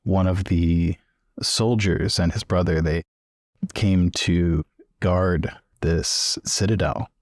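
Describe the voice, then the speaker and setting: A man improvising a story for a tabletop role-playing game in a conversational, halting, deliberate way. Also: deep voice, fairly even intonation, a little mellow, no room sound, clean studio sound.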